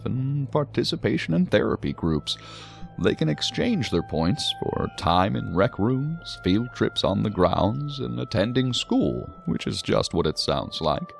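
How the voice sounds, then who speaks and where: A wordy sci-fi drama psychiatrist, low creaky voice, musing and genial, music underneath. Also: male voice, exaggerated voice. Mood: explanatory. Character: male psychiatrist, talkative, learned, genially hedging. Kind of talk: science fiction audio drama acting.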